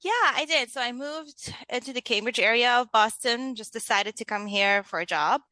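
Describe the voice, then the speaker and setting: A woman's voice: fairly high pitch, normal speed, bright, forceful tone, no echo. A woman with a Lebanese Arabic accent, speaking casually as a coach being interviewed. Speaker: interview guest, leadership coach.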